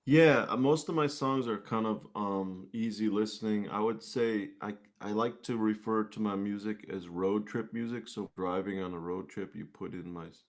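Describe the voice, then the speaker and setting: A man from the United States chatting casually as an interview guest, with a mellow, laid-back manner. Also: lowish voice, moderate pace, hardly any pauses. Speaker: singer-songwriter guest.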